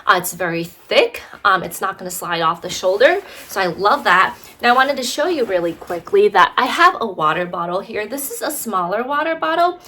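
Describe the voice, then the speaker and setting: Chatty woman with a lively voice. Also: medium pitch, moderate pace, few pauses. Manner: chatty, lots of fillers and "right?". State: congested from allergies. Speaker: fashion vlogger. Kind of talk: casual product review.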